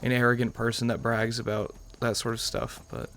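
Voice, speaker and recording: Gravelly young American man gaming. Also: medium pitch, flat delivery, fairly quick, fairly bright tone, a little hiss or hum. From New York, United States. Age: young adult. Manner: rambling, self-qualifying. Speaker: gaming channel host. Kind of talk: casual let's-play commentary.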